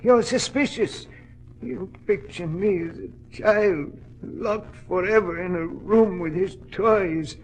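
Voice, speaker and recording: An actor playing an eccentric, frail old British recluse in 1940s radio style, with a husky, quavering delivery. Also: male voice, high voice, slow pace, poor audio. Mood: brooding. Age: older. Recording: vintage radio drama recording.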